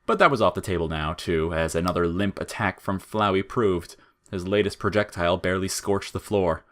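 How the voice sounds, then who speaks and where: A man narrating scripted audio fiction in a measured storytelling manner. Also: low-pitched.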